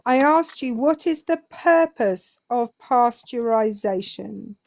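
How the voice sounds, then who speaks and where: A woman's voice: a little high, expressive, takes it slowly, darkish tone. A woman calling into a live debate, insistent and sarcastic, repeating her point over interruptions. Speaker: guest caller on a livestream.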